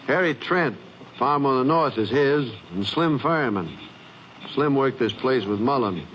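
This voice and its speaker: An actor playing a plain-spoken small-town sheriff with a rural Texan accent in a 1950s radio western. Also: male voice, mid-range voice, animated, unhurried, a bit bright. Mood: matter-of-fact. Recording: muffled, hissy old radio recording.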